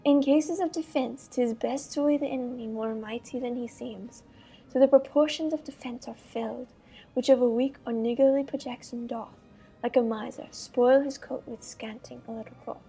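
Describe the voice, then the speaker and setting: A woman playing a proud, confident young French prince in measured, haughty Shakespearean radio theater. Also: fairly high pitch, fairly even intonation, gravelly, dark tone. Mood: cool, confident.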